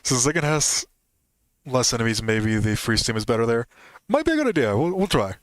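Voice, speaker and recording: A man's voice: medium pitch, very animated, a little fast, dry recording, clean studio sound. A man giving casual gameplay commentary as a gaming host, thinking aloud and correcting himself as he goes.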